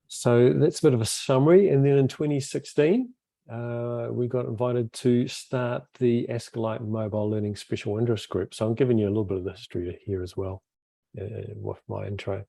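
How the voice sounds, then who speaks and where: A man introducing himself on a video call, relaxed and rambling with many fillers, as the group's convenor. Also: mid-range voice, brisk, gravelly, no echo.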